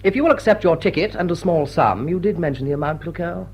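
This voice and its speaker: An actor playing a suave, drily witty English lord with an upper-class British accent, formal and deliberate in a period radio drama. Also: male voice, on the high side, lively intonation, breathy edge, dull audio. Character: suave, sardonic English lord chairing a meeting. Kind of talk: period drawing-room radio drama acting.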